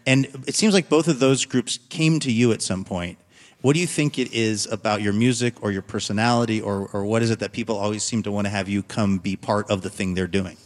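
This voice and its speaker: A man's voice: on the low side, fairly quick, dry recording. A middle-aged American man, presenting a radio show and interviewing guests as its host. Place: on stage at a theater.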